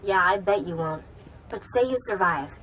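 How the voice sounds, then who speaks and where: Actress doing a young woman spy contact in a video game, brisk n wary, dry wit, clipped casual lines. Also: lowish voice, fairly bright tone, slight background noise, natural voice, rough recording. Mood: urgent, wry. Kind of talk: video game cutscene acting.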